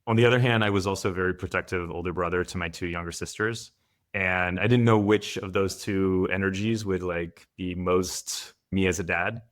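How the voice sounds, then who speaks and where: Deep-voiced guy from france, thoughtful and candid podcast guest, talks it through. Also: fairly even intonation, normal speed. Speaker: guest, founder and father. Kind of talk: podcast interview answers.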